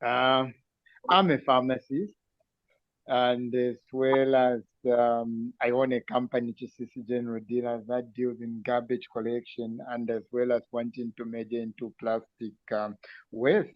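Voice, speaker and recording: A man from Zambia introduces himself on a video call in a polite, hesitant way. His voice is mid-pitched, and the call audio sounds dull and dry. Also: level tone, unhurried, dry recording. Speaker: participant. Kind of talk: self-introduction.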